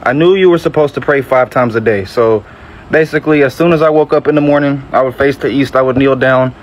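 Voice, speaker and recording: A man's voice: medium pitch, low-quality recording. Young man telling his story. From Metro Atlanta, Georgia, USA. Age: early 20s. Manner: measured, reflective, frequent self-corrections. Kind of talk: personal testimony to camera.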